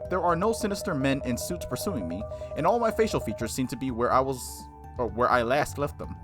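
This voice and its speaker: A gaming host reading visual novel text aloud and commenting on it casually, in an animated, playful, slangy way. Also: medium pitch, a little fast, music in the background.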